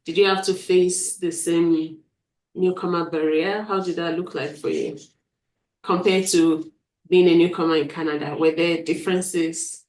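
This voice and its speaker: A woman's voice: fairly low pitch, fairly even intonation, unhurried, darkish tone. A woman hosting a live stream interview, enthusiastic, often repeating words as she frames her questions over a video call. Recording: roomy, distant from mic.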